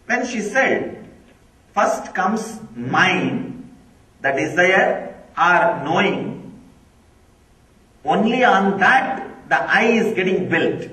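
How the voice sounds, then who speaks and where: A man's voice: sits high, expressive, very slow, pauses often, pushed and bright. A man, a Tamil speaker, giving a spiritual discourse, emphatic and repeating key phrases. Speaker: spiritual teacher. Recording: echoey room, from far off.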